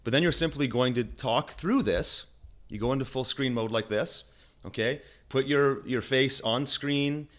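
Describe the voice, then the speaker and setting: A man with a deep voice giving a casual screen-recorded tutorial. He talks in an energetic, chatty way, restarts his sentences and keeps asking "okay?". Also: unhurried. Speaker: online marketing instructor. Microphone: desk microphone.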